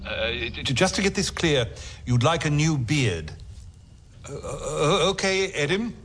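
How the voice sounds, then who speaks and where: A woman's voice: big pitch swings, a little slow, husky, heavily acted. A woman putting on the voice of a confused male Santa who takes children's phone calls. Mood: puzzled. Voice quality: deep put-on voice, hesitant. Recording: voice from an ad, heard from far off.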